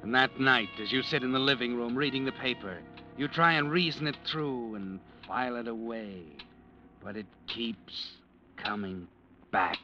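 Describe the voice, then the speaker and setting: An actor playing a sardonic, all-knowing narrator who taunts and insinuates in 1940s radio drama style. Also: male voice, medium pitch, normal speed, dull audio, music in the background, close to an everyday voice. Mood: sardonic, insinuating. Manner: insinuating, taunting, addresses the character as you.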